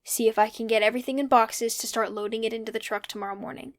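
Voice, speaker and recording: An actress playing a wry, pensive young character talking alone in an audio drama, with a conversational, musing manner. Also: female voice, on the high side, steady tone, quick pace, close to an everyday voice. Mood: weary, resolved. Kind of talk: audio drama monologue, casual reflective recording.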